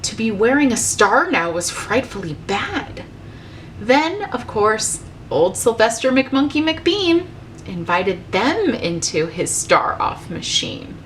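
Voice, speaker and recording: A woman with a fairly high voice reads a children's storybook aloud. She takes the rhymes slowly and rhythmically, like a teacher, and there is noise in the recording. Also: big pitch swings, fairly bright tone.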